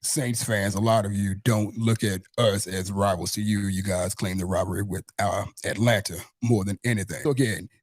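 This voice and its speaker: A man's voice: lowish voice, fairly even intonation, moderate pace, hardly any pauses, no echo, studio quality. A man from Mississippi with a Southern US accent, hosting a casual sports interview in a wordy way that circles back over phrases. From Mississippi, United States. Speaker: podcast host.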